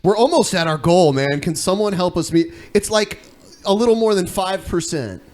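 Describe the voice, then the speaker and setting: A man's voice: sits high, lively intonation, moderate pace, darkish tone. A man hosting a podcast in casual, conversational talk. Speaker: podcast host. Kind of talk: casual chat. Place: indoor room, podcast stream.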